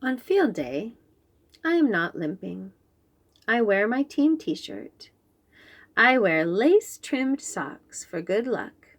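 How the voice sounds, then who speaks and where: A woman reads a picture book aloud to young children. Her pitch rises and falls a great deal, her pace is slow, and her storytelling is expressive. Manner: expressive, animated.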